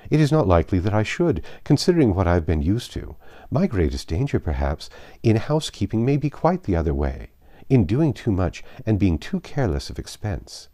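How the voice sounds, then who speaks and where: Man voicing a pompous, gushing society lady, swooping pitch. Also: very animated, a little fast, dark tone. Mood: self-satisfied, bossy. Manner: effusive, condescending, emphatic. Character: pompous, gushing, self-important married society woman. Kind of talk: audiobook character reading.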